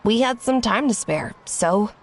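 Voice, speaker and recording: A woman's voice: mid-range voice, expressive, unhurried, a little hiss or hum, lightly acted, rough recording. An actress playing a young woman surfer who politely thanks her rescuers. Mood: grateful.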